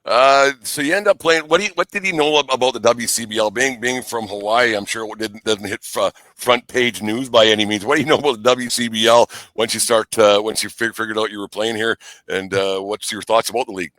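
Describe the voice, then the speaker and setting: Fast-talking man hosting sports interview, bright voice. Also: on the low side, steady tone, no echo. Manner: joking, teasing, rambling. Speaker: sports podcast host. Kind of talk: casual interview chat.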